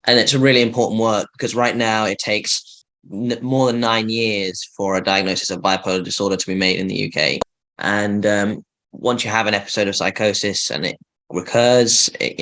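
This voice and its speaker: A young man speaks as a podcast guest in a lowish voice. He is earnest and measured and talks plainly. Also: steady tone, sharp tone, poor audio. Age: young adult. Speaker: guest, medical student. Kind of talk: casual interview chat.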